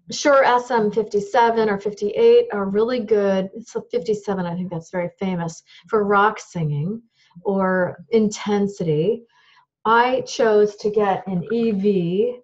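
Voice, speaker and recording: A woman voice teacher with a high voice explains microphone use in a plain, practical way, holding up gear as she goes. The sound is a little dull and lo-fi. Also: a little mellow. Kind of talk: instructional talk to camera.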